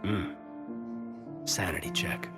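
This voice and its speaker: A man playing an earnest, sincere soldier in a video game, speaking in a soft, hesitant way. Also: slow, lightly acted. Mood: let down, gracious. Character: earnest male soldier, adult, sincere, restless, quietly yearning. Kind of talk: naturalistic video game voice acting. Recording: music behind the voice.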